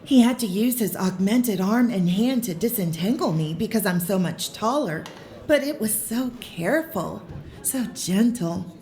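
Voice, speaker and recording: An actress playing a tall, gentle young woman who speaks softly, tenderly and earnestly. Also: medium pitch, lively intonation, measured pace, lightly acted. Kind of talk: audio drama acting.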